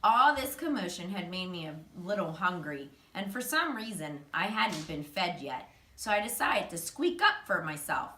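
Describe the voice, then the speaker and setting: A woman's voice: fairly low pitch, sing-song, moderate pace, hardly any pauses, bright, forceful tone, slight background noise. Woman reading a storybook, roomy echo. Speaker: teacher reading a children's chapter book aloud. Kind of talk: expressive storybook narration. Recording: roomy echo, distant microphone.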